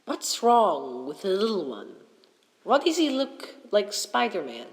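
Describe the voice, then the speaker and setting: A woman's voice: medium pitch, very animated, a little slow. A woman playing an anxious, reluctant miser in a storybook drama, with a dramatic, pleading manner. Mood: concerned. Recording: long hall reverb.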